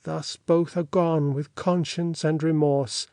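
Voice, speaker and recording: A man reading an audiobook in a measured, formal and theatrical way, as a scripted literary narration. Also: fairly high pitch, steady tone, darkish tone, clear, resonant tone, no echo, clean studio sound.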